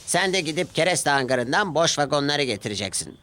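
A man voicing a firm but kindly railway controller with a measured, authoritative manner in a children's cartoon. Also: fairly high pitch, lively intonation, bright, forceful tone, slight background noise, voice pushed up. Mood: instructing. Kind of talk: children's cartoon character dialogue.

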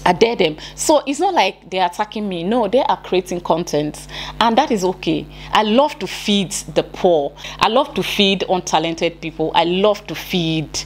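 A woman's voice: medium pitch, lively intonation, moderate pace, a little hiss or hum. A woman with a Nigerian accent talking to camera in an emphatic, defiant vlogging style, often repeating herself for weight. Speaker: YouTuber. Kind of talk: opinionated talking-head vlog. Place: indoor room at home.

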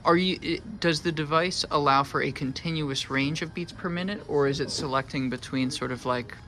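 Bright-voiced man asking questions. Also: fairly high pitch, moderate pace. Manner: inquisitive, checks his understanding step by step. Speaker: instructor interviewing students. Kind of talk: conversational questioning. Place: electronics lab, indoors.

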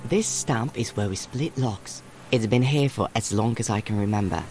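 A man's voice: lowish voice, normal speed, music in the background, natural voice. A man playing an earnest, caring young country boy and protective older brother, narrating reflectively in a video game. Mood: wistful.

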